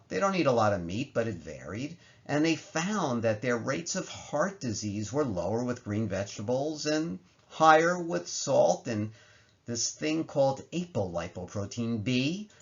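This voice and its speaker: A middle-aged man, a medical professor, narrating a slide lecture in plain language with emphatic phrasing and rhetorical questions, on a slightly dull recording. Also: lowish voice. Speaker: medical professor giving a presentation.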